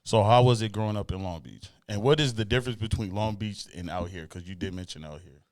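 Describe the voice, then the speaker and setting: A man with a fairly low voice hosts a casual interview. He talks in a laid-back, slangy way and swears casually as he chats. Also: fast, dry recording, clean studio sound. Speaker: interview show host.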